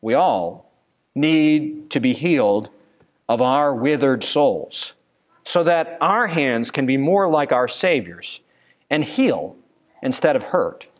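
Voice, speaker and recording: A man's voice: mid-range voice, animated, slow. A man in his thirties, a pastor preaching a sermon at a church pulpit, earnest and given to rhetorical questions. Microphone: pulpit microphone.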